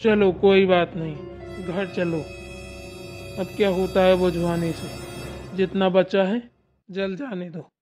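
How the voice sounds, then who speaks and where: A performer playing a calm older village father-in-law who soothes and reassures the family. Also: mid-range voice, takes it slowly, long pauses, over music, lightly acted. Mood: soothing. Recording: dull lo-fi sound.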